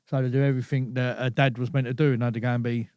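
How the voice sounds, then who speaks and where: Casual guest in his thirties, easygoing, mid-pitched, close dry sound. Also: level tone, very fast, a little mellow, clear, resonant tone, no echo. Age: 30s. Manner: laid-back, fills talk with "you know what I mean". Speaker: podcast guest. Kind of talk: casual storytelling chat.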